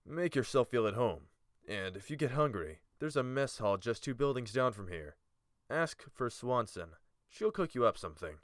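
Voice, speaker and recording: A man playing a calm, authoritative guild-leader mage in a fantasy audiobook, speaking in a measured, smooth voice. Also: mid-range voice, no echo, clean studio sound, natural voice. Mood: welcoming, instructive.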